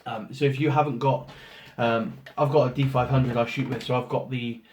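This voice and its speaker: A man with a hoarse voice from a cold, casually talking through an unboxing with lots of ums and restarts. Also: mid-range voice, fairly even intonation, normal speed, a little mellow. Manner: rambling, many ums and restarts. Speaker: photographer doing an unboxing. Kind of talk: casual product walkthrough. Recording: roomy echo, faint noise.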